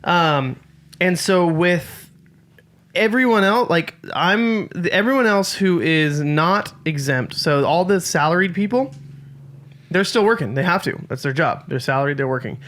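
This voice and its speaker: A man's voice: high voice, animated, measured pace, fairly bright tone. A man in his late twenties co-hosting a casual podcast, speaking with dry, self-deprecating humor. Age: 20s. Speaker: podcast co-host. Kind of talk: casual chat.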